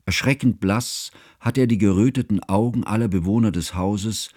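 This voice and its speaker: An actor playing a devoted scholar who narrates a literary radio drama in a measured, formal, solemn manner. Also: male voice, lowish voice, a little mellow, husky, dry recording, studio quality. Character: grave, devoted male scholar narrating his friend's tragedy.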